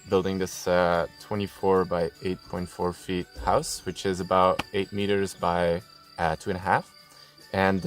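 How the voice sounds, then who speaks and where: A man from Belgium, giving a relaxed walkthrough tour of a build site and pausing often with fillers. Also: on the low side. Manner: relaxed, explanatory, many filler pauses. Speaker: tiny house builder.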